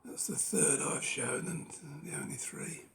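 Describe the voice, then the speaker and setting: Gravelly hoarse man, half-whispering. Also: medium pitch, animated, a bit bright. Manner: unhurried, musing, lots of ums and rights. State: losing his voice. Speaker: book collector. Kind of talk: casual collection walkthrough.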